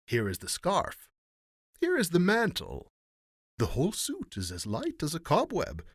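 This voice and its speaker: Man as showy, obsequious swindling weaver, raised pitch. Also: wildly swooping pitch, unhurried, husky, full character voice. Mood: oily flattery. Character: sly swindling male weavers, obsequiously flattering the emperor.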